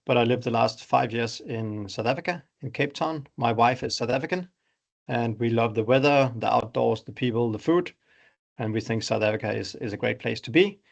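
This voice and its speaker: A man's voice: normal speed, gravelly. A middle-aged man from Denmark with a Danish accent, presenting his startup in an online webinar in a conversational, explanatory way. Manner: explanatory, conversational pitch with examples.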